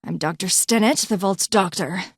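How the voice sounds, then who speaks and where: A woman's voice: medium pitch, normal speed, gravelly, husky, no echo, studio quality. A woman voicing a brusque, condescending vault doctor and scientist in a video game, with clipped, formal, haughty delivery. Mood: cool, satisfied. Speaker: voice actor in a game mod. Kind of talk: scripted game character dialogue.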